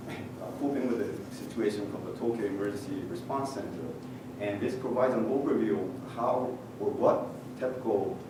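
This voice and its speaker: A middle-aged Japanese man speaking English with a Japanese accent, giving a measured technical conference talk with frequent "uh" pauses and a touch of dry humor. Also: lowish voice. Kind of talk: presentation talk with slides. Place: large conference hall. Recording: echoey hall picked up from far off with noise.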